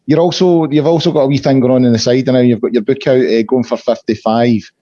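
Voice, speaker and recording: Hoarse Scottish man hosting a podcast. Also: medium pitch, steady tone, fast talker, dark tone, dry recording, dull audio. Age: middle-aged. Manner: chatty, repeats fillers and restarts. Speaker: podcast host. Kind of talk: casual fan podcast chat.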